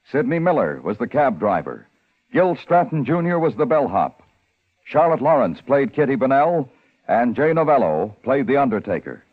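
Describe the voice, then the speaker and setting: A man's voice: mid-range voice, slightly rough. A man announcing formally in the style of a 1950s radio show's credits. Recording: tinny 1950s radio broadcast.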